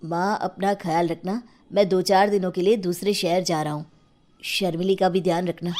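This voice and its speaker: Here is a woman acting a plain-spoken relative in a moral story. The tone is soft-edged and mellow. Faint noise and clicks sit on the recording. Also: fairly low pitch, steady tone, normal speed, natural voice. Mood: matter-of-fact. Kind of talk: acted Hindi moral story.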